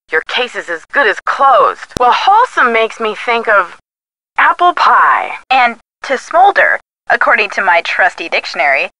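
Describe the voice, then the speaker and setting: A woman's voice: a little high, bright, forceful tone, no room sound, rough recording. An actress playing a detective's chatty, witty young female friend in a video game, with a playful, teasing manner. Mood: wry. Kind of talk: scripted video game dialogue.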